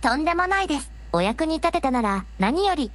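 Woman as a gracious princess, high and lilting. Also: big pitch swings, a little fast, no room sound. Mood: courteous. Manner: formal, refined, very courteous. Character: gracious, earnest, polite young princess. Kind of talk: radio drama, synthetic narrated delivery.

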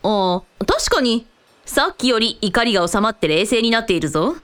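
Actress as a brusque, animated, hot-tempered woman. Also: on the high side, moderate pace, clean tone. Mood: calmer, approving. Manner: blunt, mannish, sardonic phrasing. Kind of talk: comic radio drama acting.

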